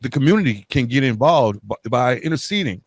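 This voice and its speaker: A man hosting an interview podcast, speaking in a halting way as he searches for words. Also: mid-range voice, animated, husky, dry recording, studio quality. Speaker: podcast host. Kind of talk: hosting an interview podcast, wrapping up with calls to action.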